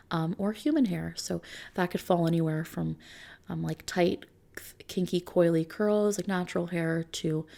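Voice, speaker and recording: Soft spoken asmr lady playing a consultant, lowish voice with lots of vocal fry, takes her time explaining. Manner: unhurried, explanatory, addressing the listener as a client.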